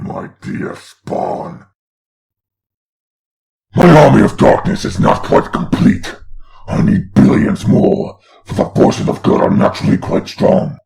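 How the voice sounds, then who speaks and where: A man voicing a grandiose, taunting demon lord in a comic dub, with a gravelly, theatrical delivery. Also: sits low, a little mellow, full character voice. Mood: smug, menacing. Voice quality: gravelly, creaky. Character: grandiose demon lord, menacing mocking father of hellspawn.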